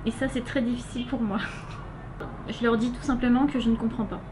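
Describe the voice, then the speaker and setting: Young woman, French accent, interviewee; fairly high, halting delivery, lots of fillers; noisy recording. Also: fairly even intonation. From France. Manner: hesitant, many filler sounds. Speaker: interviewee, volunteer. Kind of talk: casual interview answers. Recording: noisy background hiss.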